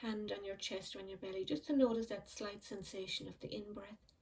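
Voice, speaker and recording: A woman leads a calm mindfulness meditation in a mid-range voice. She speaks softly and slowly, letting long pauses hang between phrases. Her voice sits a little back from the microphone. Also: mid-range voice, distant mic. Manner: slow, soft and soothing, with frequent pauses. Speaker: meditation teacher.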